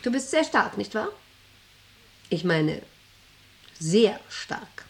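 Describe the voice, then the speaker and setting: A woman's voice: medium pitch, very animated, takes it slowly, vocal fry, exaggerated voice. An actress playing a domineering, manic female factory director in a radio drama, with an imperious, erratic, commanding manner. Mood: controlled, intense.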